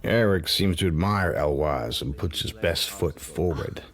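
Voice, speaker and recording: A man's voice: rumbling low, unhurried, a bit bright, a little hiss or hum. An actor playing a wry, watchful man who narrates in the first person in a radio drama, dry and reflective. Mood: wry, observant. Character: wry, watchful man privately narrating his shady dealings.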